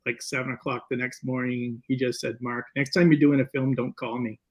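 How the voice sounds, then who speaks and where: A man speaking as a guest filmmaker, telling stories in a casual, animated interview style. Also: mid-range voice, a little fast, breathy edge.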